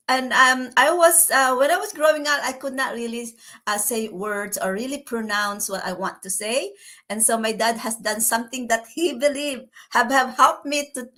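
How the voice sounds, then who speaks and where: Chatty Filipino woman, higher voice. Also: pushed and bright. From Philippines. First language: Tagalog. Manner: chatty and cheerful. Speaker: vlogger and business mentor. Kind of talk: casual talk to viewers.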